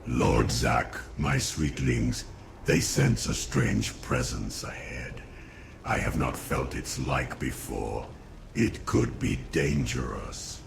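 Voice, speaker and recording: A man voicing a sinister undead insect lord who dotes on his locust swarm in a slow, menacing, gravelly croak, in fantasy game cutscene style. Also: rumbling low, sing-song, heavy background noise, exaggerated voice. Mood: ominous.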